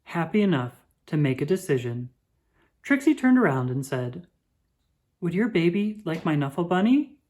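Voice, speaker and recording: A woman reading a children's picture book aloud with expressive, drawn-out emphasis. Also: fairly low pitch. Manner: animated, dramatic emphasis with drawn-out words.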